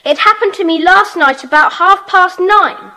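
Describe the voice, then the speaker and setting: Bright, high-voiced British schoolboy leader. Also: female voice. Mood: puzzled, excited. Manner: brisk, commanding. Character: bossy, eager schoolboy leader of a secret club. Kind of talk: children's audio drama acting.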